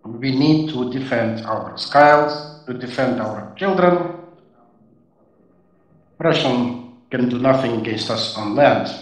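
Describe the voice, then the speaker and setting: Slow, measured man in a roomy hall. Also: mid-range voice, distant mic. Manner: measured, deliberate, with short pauses between phrases. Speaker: guest answering audience questions. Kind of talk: formal Q&A answers. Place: university auditorium.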